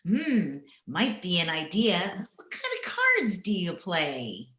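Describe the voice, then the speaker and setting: Actress doing sassy wry radio maid, bright highish voice, teasing, roomy echo. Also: female voice, huge pitch swings, slow pace, exaggerated voice. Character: droll, cheeky housemaid with dry wit. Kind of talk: old-time radio comedy acting. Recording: echoey room over video call.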